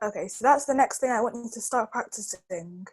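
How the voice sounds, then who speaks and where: A woman's voice: fairly high pitch, fairly quick, a little mellow, slightly rough. A woman tutoring a child one-on-one in an encouraging, reassuring way.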